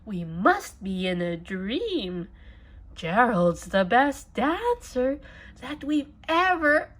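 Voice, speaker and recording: A woman voicing a crowd of admiring, amazed jungle animals with excited shouts in a children's storybook. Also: sits high, voice pushed up, exaggerated voice. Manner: excited shouting, drawn-out pleading. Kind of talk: children's storybook read-aloud.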